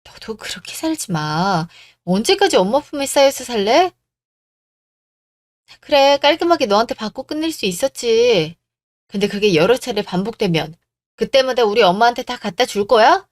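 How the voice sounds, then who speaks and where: A woman acts out an indignant young fiancée in a radio drama. She is blunt and scolding, with a fairly high voice in a dry recording. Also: animated, normal speed, no room sound, natural voice. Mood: reproachful. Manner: blunt, scolding, rhetorical questions. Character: indignant, firm young woman breaking off her engagement.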